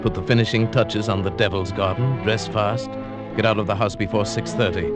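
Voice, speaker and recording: An actor playing a scheming professor who narrates his own wife-murder, in a smooth, quietly gloating 1940s radio drama style. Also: fairly low pitch, steady tone, brisk, natural voice. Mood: cold narration. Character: scheming middle-aged male professor, cold wife-murderer. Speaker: narrator and lead character. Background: music.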